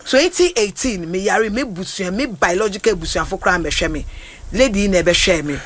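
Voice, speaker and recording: A man hosting a radio chat, excitable and quick to repeat himself. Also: high voice. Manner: excitable, stammers and repeats syllables. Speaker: radio host.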